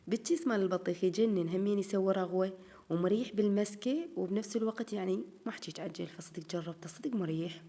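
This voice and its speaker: A woman's voice: on the low side, dark tone. A woman with an Iraqi Arabic accent, narrating her home routine in casual chat to her viewers, her voice a little tired and strained. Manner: chatty, addresses viewers as girls. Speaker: home vlogger.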